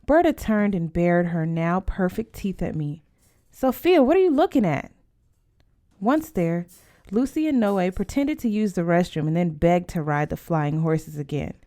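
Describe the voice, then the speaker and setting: A woman's voice: fairly low pitch, expressive, a little slow, warm tone. Woman reading a first-person story aloud. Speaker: audiobook reader.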